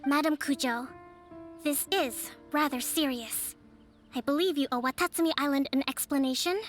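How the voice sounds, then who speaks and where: A woman voicing a calm young strategist who leads with polite, measured diplomacy in a game. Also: high-pitched, soft background music, lightly acted. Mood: composed. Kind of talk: game voice acting.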